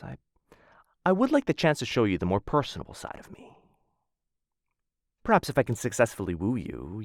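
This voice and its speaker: A man performing an ASMR roleplay as a suave, smitten assassin of a noble house, speaking in formal, courtly phrases with hesitant softer asides into a close mic. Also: mid-range voice, dry recording. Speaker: voice actor in a boyfriend roleplay audio. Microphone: close studio-style mic.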